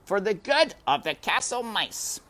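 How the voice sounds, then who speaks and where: A reader voicing an irritable, officious hooded male mouse guard in a storybook, loud, pompous and impatient. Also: mid-range voice, animated, unhurried, pitched above the performer's own voice. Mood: pompous, official.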